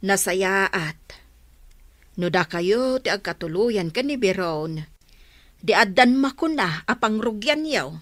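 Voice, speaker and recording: An actress with a Filipino accent playing a friendly, expressive woman in a family radio drama. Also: medium pitch, slow, dry recording. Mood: motherly, approving.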